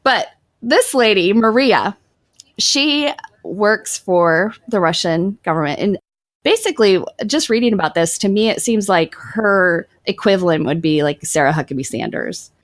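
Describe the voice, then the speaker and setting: A woman's voice: mid-range voice, animated, a little slow, no echo. A woman co-hosting a casual podcast, reading article passages aloud and joking about her own pronunciation. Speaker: podcast co-host.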